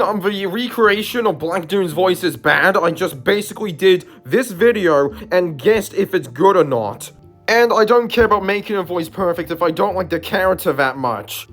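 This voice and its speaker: A man hosting a video, giving casual, rambling, argumentative commentary. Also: high voice. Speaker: YouTube host.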